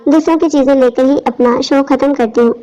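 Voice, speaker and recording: A woman's voice: high-pitched, steady tone, fairly quick, mellow, close to an everyday voice. A woman narrator voicing a scheming young college girl in a story, coaxing and greedy. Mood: defiant. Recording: dull lo-fi audio.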